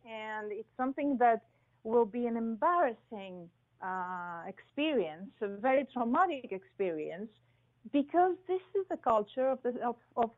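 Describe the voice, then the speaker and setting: A woman from Greece, a guest in an interview, talking slowly and thoughtfully with many pauses and fillers. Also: on the high side, narrow, tinny audio. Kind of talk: interview conversation.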